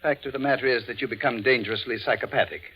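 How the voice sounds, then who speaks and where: An actor playing a menacing, indignant middle-aged stepfather in a 1940s radio drama, forceful and clipped. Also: medium pitch, rapid-fire, husky, faint music behind. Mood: cold, menacing. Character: menacing, indignant stepfather, coldly controlled when threatening. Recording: dull 1940s radio recording.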